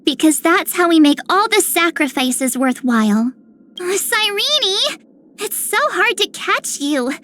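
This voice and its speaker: A very high, girlish voice for an eager young game heroine. She is playful and exuberant, yet takes her time over the words. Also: sing-song, measured pace, fairly bright tone, a little hiss or hum, heavily acted. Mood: cheerful, earnest. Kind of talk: video game character voice lines.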